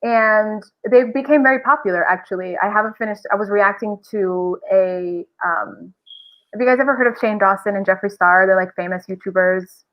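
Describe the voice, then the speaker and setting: A woman's voice: mid-range voice, normal speed, dull audio. A woman guest chatting casually and emphatically from an echoey office through a laptop microphone. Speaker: podcast guest. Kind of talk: casual chat. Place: bare-floored office, indoors. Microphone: built-in laptop microphone on a video call.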